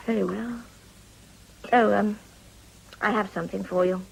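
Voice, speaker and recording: An actress playing an earnest, anxious, dutiful politician's wife in a period radio drama. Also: female voice, mid-range voice, fairly even intonation, measured pace, vocal fry, background noise. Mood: uneasy.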